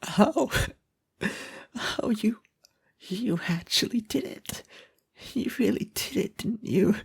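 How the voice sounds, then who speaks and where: Man acting a husky, slow, obsessive yandere kidnapper. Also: high voice, dry recording, exaggerated voice. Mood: breathless awe. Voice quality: husky, breathy, often whispering. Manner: slow, halting, intimate, doting yet threatening. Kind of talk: ASMR roleplay voice acting.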